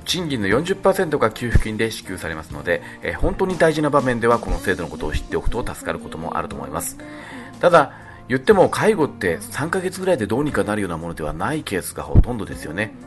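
Animated man talking on radio. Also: lowish voice, fast. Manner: polite, conversational. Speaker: radio show leader and expert commentator. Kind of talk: radio talk and explanation. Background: faint music.

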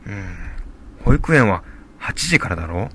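A man acts out a calm young husband and dad in a radio play. His voice sits fairly low, casual and supportive, over faint background noise. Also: unhurried, exaggerated voice. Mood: thoughtful. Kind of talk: amateur radio drama dialogue.

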